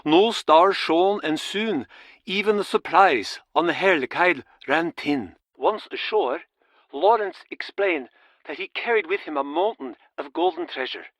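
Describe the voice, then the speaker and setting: A man acting as a storyteller, solemnly recounting an old town legend in a measured, dramatic way for a game. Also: on the high side, lively intonation, fairly bright tone, no room sound.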